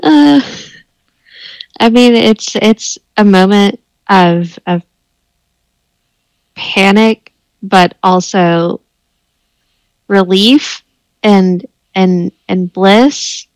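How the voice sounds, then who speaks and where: Slow, soft-spoken woman player. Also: mid-range voice, smooth, no room sound, rough recording. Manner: hesitant, slow and thoughtful. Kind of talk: casual tabletop play.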